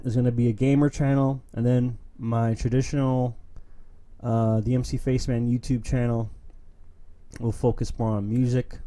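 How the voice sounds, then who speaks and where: A man's voice: medium pitch, warm tone. A young man from New York City hosting his own streamed opinion show, talking in an earnest, emphatic way with many fillers and restarts. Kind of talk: casual monologue, reading memes.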